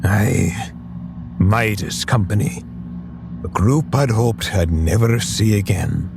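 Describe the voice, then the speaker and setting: Grave Irishman, loyal and protective, for audio drama, played by a man, unhurried and fairly deep, music underneath. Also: creaky. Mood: grave, foreboding.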